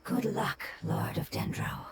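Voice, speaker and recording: A woman voicing a solemn, earnest forest spirit in a video game, speaking gravely and formally. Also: slow, in a whisper, natural voice. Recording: loud background noise, game audio on stream.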